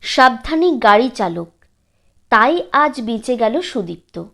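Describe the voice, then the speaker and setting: A woman narrating a literary story in a measured, expressive way with dramatic pauses. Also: on the high side, gravelly.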